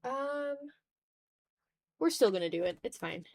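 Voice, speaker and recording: A woman's voice: fairly high pitch, lively intonation, measured pace. A woman hosting a casual art livestream, thinking aloud in a self-deprecating way as she draws. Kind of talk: casual chat while drawing on a livestream.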